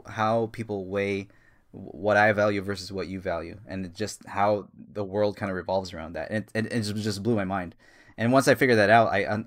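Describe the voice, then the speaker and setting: A man in his thirties co-hosting a casual podcast, rambling and enthusiastic, with many fillers and restarts. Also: lowish voice. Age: 30s. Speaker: podcast co-host.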